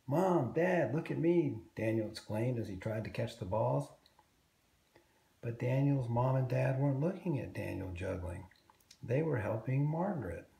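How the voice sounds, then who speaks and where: A man reading a children's story aloud, expressively and deliberately, drawing out words for young listeners. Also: medium pitch, lively intonation, vocal fry, warm tone, reverberant.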